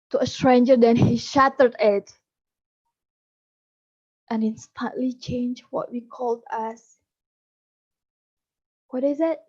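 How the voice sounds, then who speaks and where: A woman recites a spoken-word poem with a bitter edge. Her voice is high, and she takes each line slowly. The recording sounds dull and lo-fi. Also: darkish tone, husky. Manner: slow, measured, bitter delivery. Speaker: poetry contestant.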